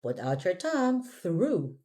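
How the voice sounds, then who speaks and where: A woman's voice: lowish voice, big pitch swings, slow pace, mellow. A woman with a Filipino accent teaching pronunciation, giving short instructive prompts and corrections as she drills words.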